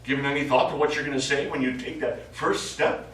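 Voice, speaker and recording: An actor playing a supportive, needling astronaut in a stage play, talking in a colloquial, prodding way. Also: male voice, medium pitch, fairly even intonation, fairly quick, natural voice. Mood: prodding, teasing. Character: supportive, needling male astronaut crewmate who stays behind. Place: small studio theatre, recorded from afar. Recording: very echoey, noisy, distant stage recording.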